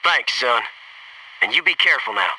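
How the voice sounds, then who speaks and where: An actor playing a brash, wisecracking American military commando in a video game, with clipped, gung-ho tactical talk and wry slang. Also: male voice, mid-range voice, expressive, moderate pace, far from the mic, noisy background, close to an everyday voice. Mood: subdued, earnest. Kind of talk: video game voice acting, tactical battlefield barks.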